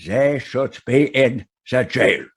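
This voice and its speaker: Stern, slow-talking mean old man. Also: medium pitch, lively intonation. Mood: stern, righteous. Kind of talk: old-time radio western acting.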